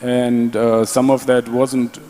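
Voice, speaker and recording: A man on a conference panel speaks with a dark, mellow tone and a dry sense of humor. The long reverb of the hall trails behind his words. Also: medium pitch, little pitch movement, slow.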